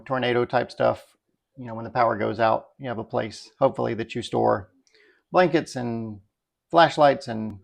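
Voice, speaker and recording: A middle-aged man with a creaky, gravelly edge to his mid-pitched voice. He talks like a reviewer chatting to his viewers, unscripted and easygoing, working things out aloud in a folksy way. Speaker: product reviewer, video host. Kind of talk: casual unscripted product review.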